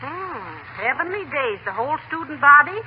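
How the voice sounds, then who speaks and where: An actress playing a sharp-tongued, teasing wife with dry wisecracks, in 1940s radio comedy style. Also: female voice, high voice, big pitch swings, normal speed. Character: sharp-tongued, teasing small-town wife, unimpressed by husband. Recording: dull, noisy 1940 radio broadcast recording.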